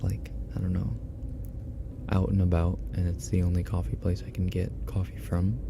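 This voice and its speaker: A man streaming ASMR, chatting casually and softly with viewers, often dropping into a whisper, on a binaural microphone. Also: deep voice, little pitch movement, normal speed, creaky, background noise. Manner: soft-spoken, often whispering, chatty and self-deprecating. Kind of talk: casual ASMR livestream chat reading donations.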